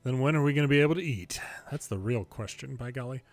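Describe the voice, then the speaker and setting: A man reading story dialogue aloud from a video game in a playful way, switching pitch between characters and adding his own commentary. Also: fast talker, no room sound. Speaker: longplay host reading a game's dialogue aloud. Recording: music behind the voice. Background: game music.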